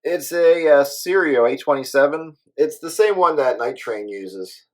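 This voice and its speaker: A man's voice: fairly high pitch, animated, a little slow, very noisy. A man chatting casually as a hobby radio operator, rambling along in an easygoing way.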